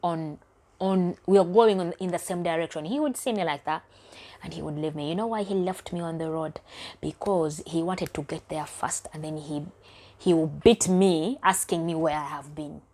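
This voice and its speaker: Low-voiced woman from Uganda, confiding. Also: moderate pace, mellow. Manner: candid, emotional, often dropping to a near whisper. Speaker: vlogger. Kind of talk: personal storytelling vlog.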